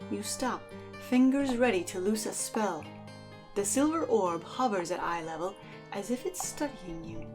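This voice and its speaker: A woman's voice: mid-range voice, animated, measured pace, over music. A woman reading dramatic second-person adventure narration as a storyteller. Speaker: podcast host and narrator.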